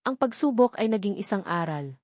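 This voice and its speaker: A woman with a Filipino accent narrates a scripted dramatic story in an earnest, sentimental way with measured phrasing. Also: medium pitch, lively intonation, darkish tone, no echo, clean studio sound. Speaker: narrator of online love stories.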